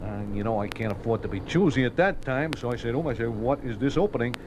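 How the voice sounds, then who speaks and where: A loquacious racetrack bookie played by a man from an old American radio drama. He talks in streetwise slang at a middling pitch, repeating himself as he defends his own case. The vintage recording carries some background noise. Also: fast talker, close to an everyday voice. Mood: explaining, self-justifying. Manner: streetwise slangy talk, hesitant repetitions, aggrieved. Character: fast-talking male racetrack bookie, folksy, defensive, loquacious. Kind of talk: 1950s radio drama acting.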